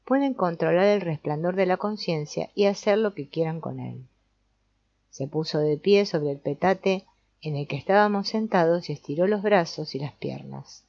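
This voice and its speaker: A woman reading an audiobook as narrator in a measured, explanatory way. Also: on the low side, expressive, vocal fry, a little mellow. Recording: dull, lo-fi sound.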